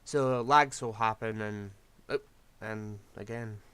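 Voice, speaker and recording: A man's voice: on the low side, steady tone, slow pace, noisy background. A man, rambling through casual gameplay commentary while losing his voice. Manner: rambling, scatterbrained, self-deprecating. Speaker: game streamer.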